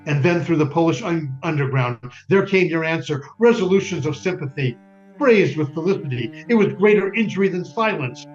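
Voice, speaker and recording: Grave, high-voiced male narrator played by an actor. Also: animated, natural voice. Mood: accusing, mournful. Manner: grave, deliberate, declamatory, with emphatic lists. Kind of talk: dramatic scripted stage narration. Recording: dull, lo-fi stage recording. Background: music.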